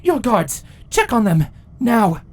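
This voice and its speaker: A woman's voice: on the high side, sing-song, takes it slowly, a little mellow, heavily acted. A woman voicing regal changeling queens and a pony in a dramatic fantasy story, speaking formally and theatrically. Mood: urgent. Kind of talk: dramatic story character acting.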